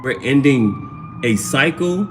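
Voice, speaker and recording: A man reads tarot live in a casual, slangy way. He repeats his phrases as he goes and talks straight to his viewers. His voice is mid-pitched, with steady noise behind it. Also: takes it slowly. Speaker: tarot reader, livestream host. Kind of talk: casual live tarot reading, talking to chat.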